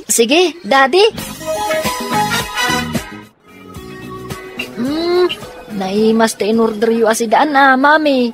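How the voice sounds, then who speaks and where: A woman's voice: a little high, expressive, measured pace, over music. A woman playing a determined, scheming young daughter in a radio drama, speaking earnestly and insistently. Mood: eager.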